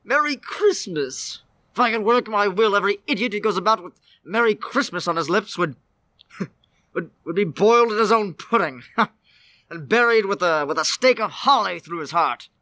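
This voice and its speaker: Guy doing a grumpy old miser for a radio play, high bright voice, scoffing, really dry sound. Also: lively intonation, normal speed, no echo. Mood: bitter, scornful. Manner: gruff, scoffing, theatrical.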